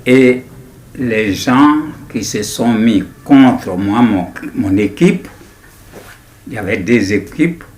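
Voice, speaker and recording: A man in his nineties with an Ivorian accent, recounting his memories in an interview in a deliberate, halting way with repetitions. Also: on the low side, expressive, a little mellow, a little hiss or hum. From Ivory Coast. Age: 90s. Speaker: interviewee, writer. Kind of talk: personal recollection in an interview.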